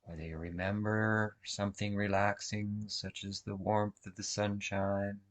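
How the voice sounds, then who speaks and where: A man leading a guided meditation as instructor, speaking gently in short, measured phrases with long pauses between them. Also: on the low side, little pitch movement. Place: online video call session.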